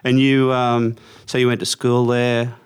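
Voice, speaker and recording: A man hosting a podcast in a studio, asking relaxed, short interview questions with plenty of fillers. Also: mid-range voice, unhurried, clear, resonant tone. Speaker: podcast host. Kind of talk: casual interview.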